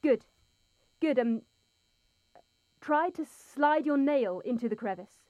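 A woman's voice: high-pitched, expressive, slow, no room sound, lo-fi sound. An actress playing a patient, slightly hesitant woman who talks someone through a task step by step. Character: calm, hesitant woman giving instructions.

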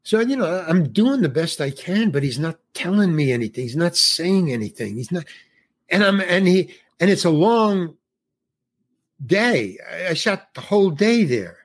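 A man's voice: high-pitched, big pitch swings, moderate pace, slightly rough. A man, an older actor, telling a rambling showbiz anecdote in a casual interview, restarting sentences and acting out quotes. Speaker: guest actor.

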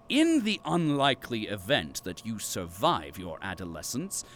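A man's voice: medium pitch, fairly bright tone, slight background noise, heavily acted. A man playing a pompous captive archivist and company loyalist in an audio drama, with formal, grandiose diction.

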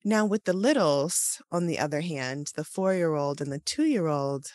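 A woman, co-hosting a casual morning podcast chat in a relaxed, meandering way, her voice still sleepy. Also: low-pitched, a little slow, no room sound. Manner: relaxed, laughs often, meandering. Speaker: podcast co-host.

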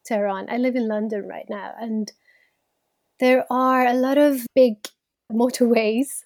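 A woman's voice: fairly high pitch, fairly even intonation, measured pace, a little mellow, no room sound, studio quality. A woman in her thirties from Iran, speaking as an interview guest in a reflective, conversational way and laughing easily. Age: 30s.

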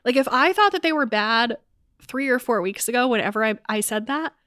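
A woman's voice: on the high side, animated, fairly quick, sharp tone, no room sound, studio quality. A woman co-hosting a podcast, chatting casually and curiously, sounding stuffy from allergies. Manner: chatty, curious, full of fillers. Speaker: podcast co-host. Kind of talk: casual chat.

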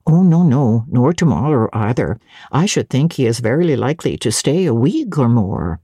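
A woman voicing a kindly, chatty elderly housekeeper in a dramatic audiobook reading, with a gentle, unhurried, gossipy manner. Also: very deep voice, very animated, breathy edge, no room sound, studio quality, pitched below the performer's own voice, exaggerated voice. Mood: chatty, admiring.

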